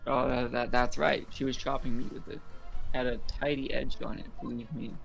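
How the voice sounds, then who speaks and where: Man voicing a creaky, henpecked, devoted husband. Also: medium pitch, level tone, moderate pace, soft background music, close to an everyday voice. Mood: wistful, rueful. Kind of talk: amateur fan dub of a courtroom video game.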